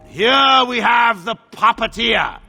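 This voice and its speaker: Proud older warlord in a fantasy game, slow booming speeches, swings all over, forceful. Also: male voice, lively intonation, gravelly, faint music behind, full character voice. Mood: mocking accusation. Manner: declamatory, oratorical, scornful. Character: proud aging warlord regent, forceful and accusing. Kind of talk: theatrical fantasy game acting.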